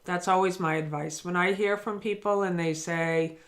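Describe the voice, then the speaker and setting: A woman's voice: lowish voice, fairly even intonation, normal speed. Woman, spiritual teacher, earnest and encouraging, talking straight to camera. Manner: earnest and encouraging, speaks to the listener directly. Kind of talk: daily spiritual lesson talk.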